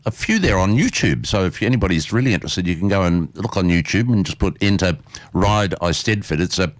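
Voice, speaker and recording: Chatty radio host, lively pitch. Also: male voice, brisk, no room sound. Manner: chatty, repeats words, animated. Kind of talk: casual radio interview. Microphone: studio mic.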